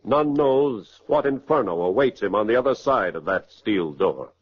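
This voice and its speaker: A man's voice: on the low side, expressive, normal speed, slightly rough. A man giving grave, formal scripted narration for a 1940s radio crime drama, on a muffled old recording.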